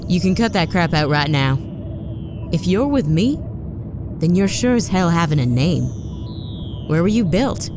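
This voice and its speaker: A tough-talking woman commanding a spaceship in a comic audio drama. Her voice sits fairly low, and she is sarcastic, blunt and salty. Music plays behind her. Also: sing-song, unhurried, long pauses. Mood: exasperated, bossy. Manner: blunt, wry, informal, profane. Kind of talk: full-cast sci-fi comedy audio drama. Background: music under the voice.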